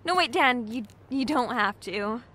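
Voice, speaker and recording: An actress playing an anxious, apologetic young bookshop clerk in an audio drama, speaking casually and hesitantly. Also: female voice, a little high, animated, a little slow, bright, forceful tone, heavily acted. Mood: flustered. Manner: hesitant, self-deprecating, casual. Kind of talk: naturalistic audio drama acting.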